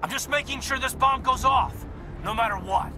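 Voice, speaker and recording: A self-sacrificing soldier in a game cinematic. The voice is very high for a male, earnest and determined, over clearly audible music. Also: moderate pace. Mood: urgent, determined. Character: dutiful, self-sacrificing male soldier, steady under fire. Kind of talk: video game cinematic dialogue.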